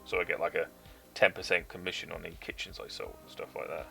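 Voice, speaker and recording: A man with a blended British Forces English accent talks loosely and swears freely. His voice sits very low, with a gravelly rasp and a bright edge. Faint music plays behind him. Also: big pitch swings, fast talker. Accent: mixed British Forces English. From England. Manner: chatty, swears freely, laughs often. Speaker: streamer building model kits. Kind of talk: casual chat on a live stream.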